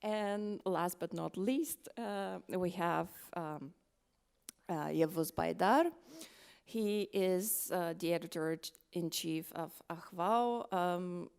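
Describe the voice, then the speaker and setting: Panel moderator, woman from Bulgaria: low, creaky voice, halting and unhurried, addressing a live audience. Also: a little mellow. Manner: hesitant, halting delivery with many ums.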